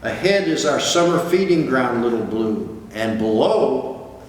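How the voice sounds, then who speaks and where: An older man voicing a big, gentle, reassuring father whale in a patient, measured storybook manner. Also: mid-range voice, expressive, natural voice. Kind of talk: storybook read-aloud character voice. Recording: distant, very echoey hall, faint noise.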